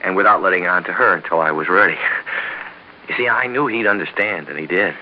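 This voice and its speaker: An actor playing an earnest, apologetic young American ex-convict, halting and deferential, in an old-style radio drama. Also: male voice, deep voice, lightly acted. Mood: earnest, hopeful. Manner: halting, stammering, pleading, deferential. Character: earnest, apologetic young ex-convict husband, eager to prove himself. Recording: dull, hissy old radio recording.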